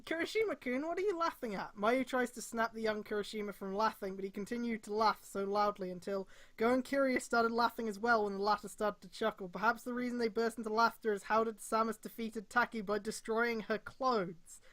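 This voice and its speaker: A woman's voice: a little high, fairly even intonation, a little fast, few pauses, a bit bright. A woman reading fan fiction aloud on a stream, breaking off with exasperated mocking comments. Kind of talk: live dramatic read-aloud with mocking commentary.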